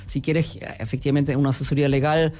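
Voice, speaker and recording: A man's voice: on the high side, little pitch movement, fast, darkish tone. A man with a German accent, giving hesitant, filler-heavy answers as a guest on a radio interview. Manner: hesitant, many fillers and restarts. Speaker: guest, company executive.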